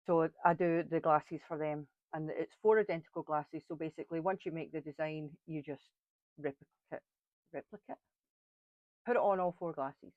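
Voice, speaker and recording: A woman's voice: sits low, fairly even intonation, normal speed, no room sound. Chatty Scottish woman, dull sound. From Scotland. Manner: chatty and blunt, with frank asides. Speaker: crafting tutorial host. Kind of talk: casual step-by-step tutorial.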